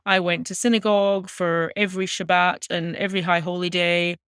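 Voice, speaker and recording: Female interview guest, thirties, Scottish accent, earnest and articulate storyteller, crisp tone, close dry sound. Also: on the low side, little pitch movement, brisk, fairly bright tone, no room sound. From Glasgow, Scotland. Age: 30s. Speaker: interview guest, music journalist. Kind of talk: reflective personal storytelling in an interview.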